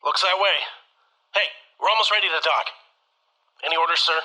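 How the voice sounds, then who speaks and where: An actor playing a brash young pirate boss who talks in an energetic, informal way. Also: male voice, a little high, fairly quick, poor audio. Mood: urgent.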